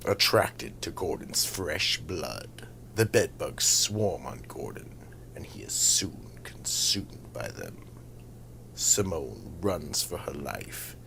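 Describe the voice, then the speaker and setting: Man doing a gravelly, bottomless-deep horror storyteller. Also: sing-song, takes it slowly, in a whisper, heavily acted, rough recording. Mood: grim. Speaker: narrator and host. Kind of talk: scripted horror narration.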